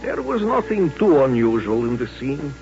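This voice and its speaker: An actor playing a folksy western storyteller, narrating a radio drama in a measured, vivid, dramatic way. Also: male voice, medium pitch, big pitch swings, loud music behind the voice. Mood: suspenseful. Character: folksy western storyteller recalling frontier showdowns. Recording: muffled old radio recording.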